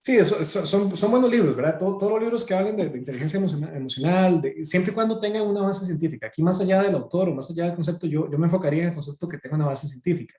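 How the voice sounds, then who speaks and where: A man answering audience questions on a video call, fast and hesitant, often repeating words. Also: sits high, animated, a little mellow, reverberant. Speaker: webinar speaker.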